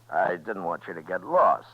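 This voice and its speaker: An actor playing a steady, wry Old West marshal in a 1950s radio western, with an American accent and a dry, laconic delivery. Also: male voice, deep voice, exaggerated voice. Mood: cool, firm. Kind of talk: 1950s radio western acting with first-person narration. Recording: muffled 1950s radio recording with music.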